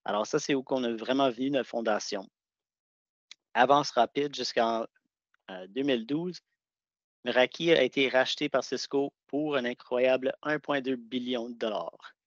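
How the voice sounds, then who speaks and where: A man's voice: medium pitch, moderate pace, no echo. A man giving a product presentation over a video call, speaking conversationally with hesitant restarts. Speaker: sales engineer presenting products. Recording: dull, dry video-call audio.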